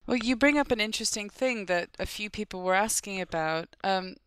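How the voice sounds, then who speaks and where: A woman's voice: mid-range voice, a little fast, a bit bright, smooth, no room sound. A woman hosting an online interview, chatty and friendly with frequent fillers. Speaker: interviewer, host. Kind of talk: podcast interview.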